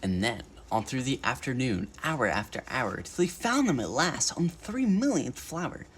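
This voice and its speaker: A man reading a children's storybook aloud in an animated narration style, as a bedtime story livestreamer. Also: mid-range voice, moderate pace, slightly rough.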